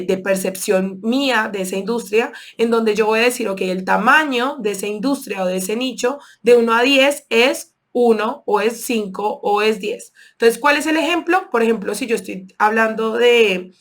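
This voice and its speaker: A woman from Colombia with a Colombian accent, teaching an online sales course and walking through examples step by step with frequent fillers. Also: a little high. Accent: Colombian Spanish. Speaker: sales instructor.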